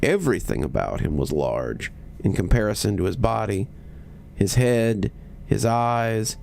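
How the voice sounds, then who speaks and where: A man reading a scripted horror story in measured, deliberate phrases with pauses. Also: on the low side, expressive, creaky, a little mellow.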